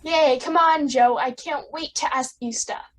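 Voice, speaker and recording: A woman's voice: sits high, unhurried, a bit bright. A woman playing an eager, stubborn youngster pleading with a parent in a radio drama. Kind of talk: old-time radio drama acting.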